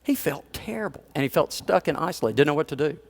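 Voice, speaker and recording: A man's voice: a little high, expressive, quick pace, gravelly. A male pastor delivering a sermon in a conversational storytelling manner, with chuckles and playful asides to the congregation.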